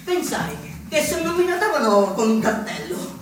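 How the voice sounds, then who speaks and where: An actor playing a rebellious young anarchist in an impassioned, insistent theatrical monologue. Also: male voice, very high-pitched, sing-song, moderate pace, a bit bright, slight background noise, exaggerated voice. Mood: mischievous, reminiscing. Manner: impassioned, insistent, with emphatic repetitions. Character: rebellious young male anarchist from southern Italy, defiant outsider. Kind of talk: theatrical first-person monologue. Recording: echoey distant stage recording with music.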